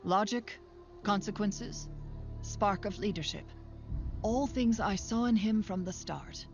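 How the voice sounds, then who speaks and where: Animated series narrator: middle-aged female scientist, creaky, measured, reflective, under loud music. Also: medium pitch, lo-fi sound. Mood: cool, reflective. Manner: measured, deliberate. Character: older female scientist recalling children she trained, cool, reflective.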